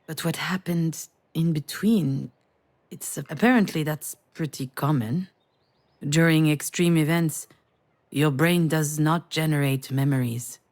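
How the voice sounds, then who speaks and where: A woman's voice: low-pitched, unhurried, a little mellow. An actress playing a troubled, introspective woman in a narrative video game, speaking earnestly in dialogue and inner monologue. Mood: reflective. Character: troubled woman haunted by crash, anxious, introspective. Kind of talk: acted visual novel dialogue and inner narration. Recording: music behind the voice.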